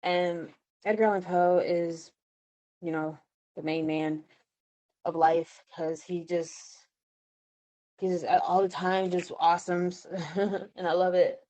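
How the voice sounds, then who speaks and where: A woman with a somewhat low voice hosts a podcast in a casual, chatty way. She is informal and giggly as she talks about her writing. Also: flat delivery, takes it slowly, long pauses, warm tone, low-quality recording. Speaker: podcast host and story writer. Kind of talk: casual chat.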